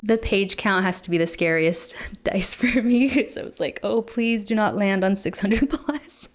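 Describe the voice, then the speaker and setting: A woman's voice: medium pitch, a little slow, muffled recording, soft background music. A young adult woman talking casually to viewers as a book vlogger. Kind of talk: casual chat to camera.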